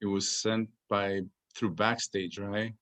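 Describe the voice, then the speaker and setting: A man with a fairly low voice in casual talk on a video call. He is informal, half muttering as if to himself. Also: level tone, slow pace, a bit bright. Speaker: actor. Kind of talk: casual chat.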